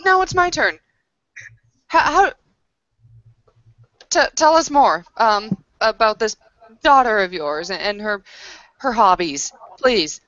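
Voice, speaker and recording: A woman voicing a suspicious, hot-tempered young woman in a tabletop game, blunt and demanding with halting delivery. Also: a little high, lively intonation, a bit bright, dry recording, highs cut off.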